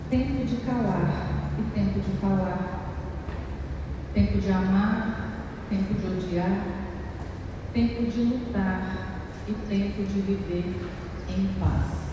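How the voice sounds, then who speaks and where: Brazilian woman preaching in an echoey hall. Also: medium pitch. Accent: Brazilian Portuguese. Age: 40s. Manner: rhetorical, building intensity with questions. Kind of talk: sermon. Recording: echoey hall, distant mic, noisy background.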